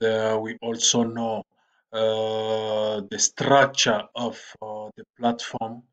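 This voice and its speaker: Slow, halting man giving a screen tutorial. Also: mid-range voice, fairly bright tone. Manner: slow and halting, with frequent "uh" fillers. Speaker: online platform trainer. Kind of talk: screen-recorded tutorial walkthrough.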